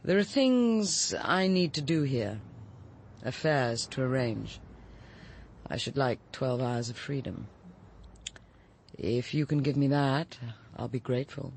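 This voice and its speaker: A woman's voice: extremely low pitch, animated, slow pace, pauses often, darkish tone, a little hiss or hum, exaggerated voice. An actress playing a cool, resolute middle-aged woman confessing to murder, with an English accent and an articulate, unsentimental delivery in radio drama style. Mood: resolute, composed. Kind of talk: BBC radio drama acting.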